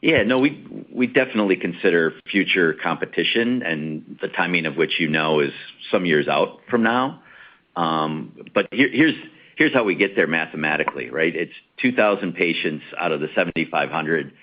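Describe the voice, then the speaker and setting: A man's voice: low voice, expressive, normal speed. A man speaking as a company executive, answering questions on an earnings call in an assertive way with rhetorical questions. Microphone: conference call line. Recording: slightly dull conference-call audio.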